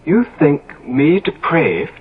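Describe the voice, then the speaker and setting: An actor playing an impressionable, vain and nervous young gentleman in a 1970s radio drama, stammering and hesitant as he speaks. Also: fairly high pitch, very animated, slow pace, breathy edge, heavily acted. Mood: defensive. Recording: dull lo-fi old radio broadcast.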